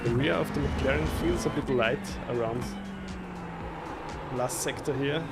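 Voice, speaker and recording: A man from Austria with a strong Austrian German accent, chatting casually with viewers while sim racing, relaxed and chuckling often. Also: medium pitch, unhurried, creaky. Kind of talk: casual live-stream chat while driving. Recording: loud music playing behind.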